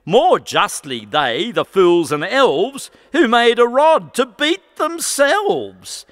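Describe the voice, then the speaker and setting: A man's voice: very high-pitched, big pitch swings, slow pace, a bit bright, pitched above the performer's own voice, exaggerated voice. A man voicing a defiant convict poet who declaims earnestly and indignantly in a recited ballad. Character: Frank, defiant male convict poet, bold and plainspoken. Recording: long reverb hall.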